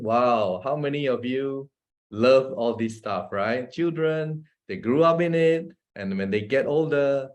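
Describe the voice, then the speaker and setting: A man, a doctor giving an informal health talk, chatty and constantly asking his listeners "right?" and chuckling between points. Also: mid-range voice. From Malaysia. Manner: chatty, often asks the audience "right?" and "guess what?".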